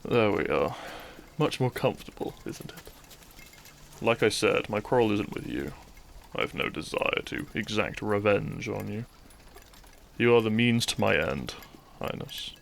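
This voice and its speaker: A man acts out a brooding young thief and kidnapper in an audio drama. His voice is low and gravelly, and he addresses his captive with mocking courtesy and deliberate pauses, bitter underneath. There is a faint noise in the recording. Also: animated. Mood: dismissive, resentful. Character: bitter young male thief, kidnapper, once a noble's childhood friend. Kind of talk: scripted audio roleplay, addressing the listener.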